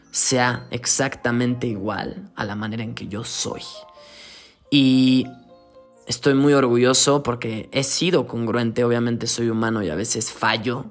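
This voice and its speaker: An earnest young man with a Mexican Spanish accent speaks in a middle-pitched voice. He talks reflectively and motivationally, as a coach would, mixing in casual slang. Soft music plays faintly under his voice. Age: 20s. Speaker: podcast host and coach. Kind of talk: reflective solo podcast monologue.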